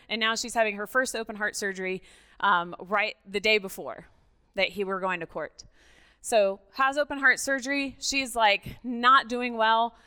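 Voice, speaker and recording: A middle-aged woman from Mexico, a native Spanish speaker, giving a conversational, animated personal testimony as a guest speaker at church, often checking in with her listeners. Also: fairly high pitch, clean tone.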